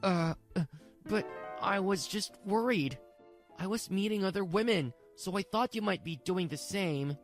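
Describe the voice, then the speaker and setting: A woman's voice: medium pitch, measured pace, soft background music, lightly acted. A woman voicing a self-pitying, evasive young husband in a dubbed manga drama, stammering and pleading his excuses. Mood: defensive pleading.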